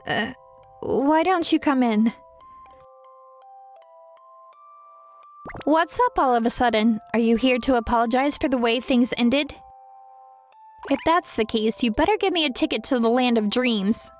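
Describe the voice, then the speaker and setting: The high-pitched, proud and argumentative young girlfriend from a romcom manga dub, acted by a woman, heard over soft music in an online video. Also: moderate pace, close to an everyday voice. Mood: flustered, guarded. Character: feisty, proud young career woman, secretly vulnerable ex-girlfriend. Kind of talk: dramatized romantic comedy dialogue.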